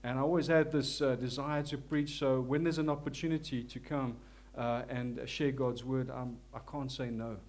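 A man's voice: mid-range voice, fairly even intonation. Earnest South African man preaching. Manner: earnest, humble, halting with many restarts; prays softly and slowly. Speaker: lay preacher. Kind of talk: church sermon and prayer. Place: church.

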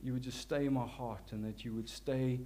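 A man's voice: mid-range voice, fairly even intonation, a little hiss or hum. Earnest South African man preaching. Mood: prayerful. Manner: earnest, humble, halting with many restarts; prays softly and slowly. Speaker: lay preacher. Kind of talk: church sermon and prayer. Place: church.

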